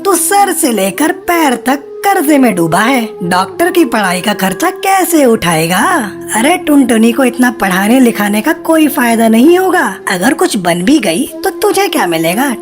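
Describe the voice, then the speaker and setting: A pushy cartoon parrot dad acted by a woman, blunt and nagging. The voice swings widely in a fairly high register, with clear music behind it. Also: very animated, normal speed, few pauses. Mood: dismissive. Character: pushy, mocking old-friend male parrot, a father. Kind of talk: Hindi cartoon story dialogue.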